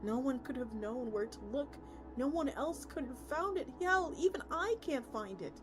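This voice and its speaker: A woman voicing an earnest, stubborn, weary country man in a story, with a halting, folksy manner. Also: high-pitched, expressive, pitched above the performer's own voice. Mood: adamant. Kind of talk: character reading of a story. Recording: loud music behind the voice.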